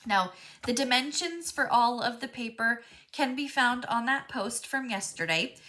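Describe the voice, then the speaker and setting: Woman, craft instructor, live class, stuffy froggy voice, bright tone, chatty with ums. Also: a little high, animated, a little slow. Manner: chatty, friendly, frequent ums.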